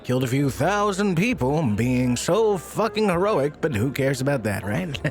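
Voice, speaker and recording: A man's voice: a little high, big pitch swings, normal speed, soft background music. An actor playing a bitter, drunken, grieving father in an audio drama, sarcastic, profane and weary. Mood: bitter mocking.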